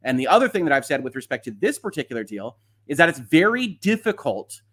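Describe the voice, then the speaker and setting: A man's voice: a little high, big pitch swings, fast, a bit bright, slightly rough. A man hosting a live stream, explaining and commenting on legal matters in a conversational, frank and opinionated way. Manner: conversational, explanatory, often checks with a tag "right?". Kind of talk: live commentary, answering viewer questions and explaining antitrust law.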